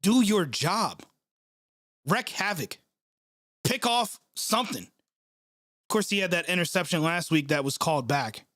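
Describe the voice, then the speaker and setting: A young man reacts live to a game for his stream, his nose stuffed up from a cold. His voice sits fairly high, and he vents with sarcasm and frequent swearing. The sound is dry and close. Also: lively intonation, unhurried, pauses often, fairly bright tone, no echo. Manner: frustrated, sarcastic, swears often. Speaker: sports channel host reacting live. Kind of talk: live play-by-play reaction stream, reading chat.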